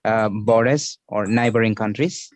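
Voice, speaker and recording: Slow, low-voiced Indian man teaching. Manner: patient, repeats key phrases as he explains. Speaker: English teacher hosting an online session. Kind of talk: explaining idioms to a live class. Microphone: video call.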